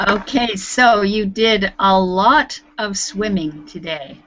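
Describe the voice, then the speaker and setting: A woman from Canada teaching a beginner English class online, speaking slowly and clearly and laughing often. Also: medium pitch, a bit bright. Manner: slow, clear teacher talk with frequent laughs. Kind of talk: friendly online class chat. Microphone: computer microphone on a video call. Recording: muffled lo-fi online call audio.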